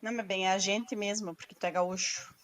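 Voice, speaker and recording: A woman's voice: medium pitch, brisk. A Brazilian woman hosting a podcast, steadily moderating the conversation. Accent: Brazilian Portuguese. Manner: steady, moderating, wrapping up points. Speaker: podcast host. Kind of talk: casual chat.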